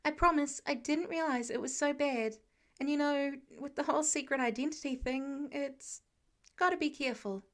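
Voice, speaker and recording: A woman's voice: high voice, moderate pace, a bit bright. A woman voicing an earnest, awkward teenage boy superhero in an audiobook-style story, polite and hesitant, stumbling over words. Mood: apologetic.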